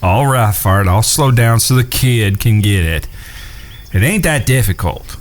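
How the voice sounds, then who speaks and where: A man playing a steady, watchful gunslinger in an audio drama, with plain, folksy speech. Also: lowish voice, a little slow. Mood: instructing. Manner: plain-spoken, folksy cowboy talk. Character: steady, watchful blind gunslinger brother, patient teacher. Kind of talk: western audio drama acting.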